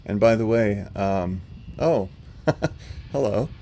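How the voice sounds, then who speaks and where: A man hosting a live stream, answering chat questions in a calm, level, unhurried way with a slightly rough voice. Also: on the low side, a little hiss or hum. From not from North Carolina. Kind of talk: casual livestream commentary answering chat. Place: home.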